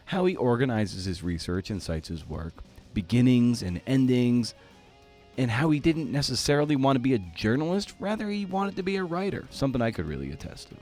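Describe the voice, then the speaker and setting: A man's voice: medium pitch, sing-song, moderate pace, a little mellow, soft background music. A man hosting an interview podcast in a casual, slangy, laid-back way.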